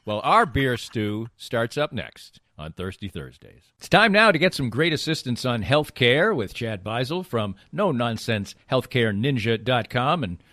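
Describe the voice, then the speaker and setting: Lively male podcast host, close and dry. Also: mid-range voice, measured pace, hardly any pauses, no room sound. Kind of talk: casual chat and sponsor reads.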